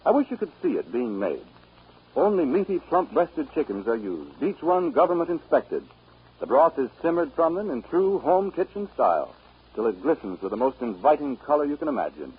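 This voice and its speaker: Animated American announcer on scratchy old radio. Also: male voice, medium pitch, moderate pace, husky. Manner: polished, emphatic salesmanship. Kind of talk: 1930s radio announcing and sponsor pitch. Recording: muffled, scratchy 1939 radio broadcast.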